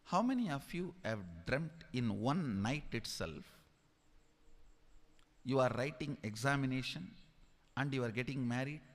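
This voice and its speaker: A man with an Indian accent giving a spiritual discourse as a teacher, emphatic and deliberate, repeating key phrases. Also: medium pitch, lively intonation. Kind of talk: sermon-like spiritual discourse to an audience. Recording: long hall reverb.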